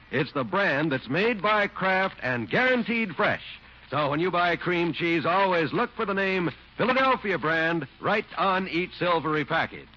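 Man, old-time radio announcer, emphatic sales pitch, lively intonation, muffled vintage broadcast. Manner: polished, emphatic sales delivery. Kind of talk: 1940s scripted radio sponsor announcing. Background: prominent music.

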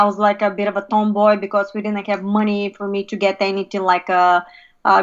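A woman from Bulgaria, a podcast guest telling her life story in a halting way, full of "uh" pauses. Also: medium pitch, fairly bright tone, dull audio.

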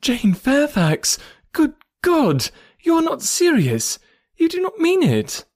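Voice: medium pitch, expressive, unhurried, darkish tone, slightly rough, no echo, studio quality, voice pushed up. A reader voicing an agitated, eager young woman in an audiobook, pleading and exclaiming. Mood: shocked. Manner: pleading, then exclaiming in shock. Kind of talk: audiobook character voice.

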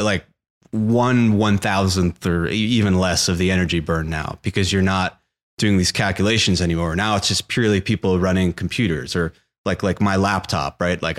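Young male podcast co-host, lowish voice, laid-back explainer tone. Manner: explanatory, frequent "like" and "right?" check-ins. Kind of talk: casual podcast chat.

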